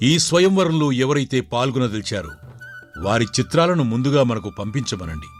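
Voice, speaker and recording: A man as storybook king, older, fatherly, formal, measured, animated pitch, light music behind. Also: lightly acted. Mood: stately, deliberate. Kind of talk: dramatized Telugu moral story.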